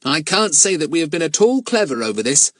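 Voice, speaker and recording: A man's voice: fairly high pitch, big pitch swings, brisk, fairly bright tone, no echo, voice pushed up. Brief: male reader doing a sensible, moody boy, storybook. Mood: earnest. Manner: earnest, a bit exasperated.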